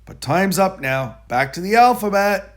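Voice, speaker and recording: A reader doing a firm, emphatic guardian man, higher-pitched. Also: animated, normal speed. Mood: encouraging. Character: George's adult guardian, a brisk, firm, kindly man. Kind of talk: children's storybook dialogue.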